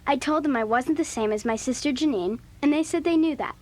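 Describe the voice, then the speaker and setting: A woman's voice: sits high, brisk, close to an everyday voice. A young female actor playing a frank, style-conscious preteen girl in a children's audio drama. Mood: pleased.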